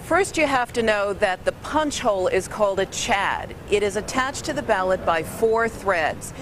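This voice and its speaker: A woman's voice: mid-range voice, expressive, moderate pace, fairly bright tone. Female TV reporter, medium register, explanatory live-report delivery, light background noise. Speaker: TV news reporter. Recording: faint ambient background noise.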